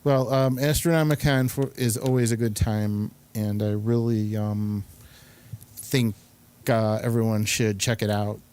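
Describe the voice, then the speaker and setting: A man hosting a casual group chat, halting and often searching for his words. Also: fairly low pitch, a little mellow. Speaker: host.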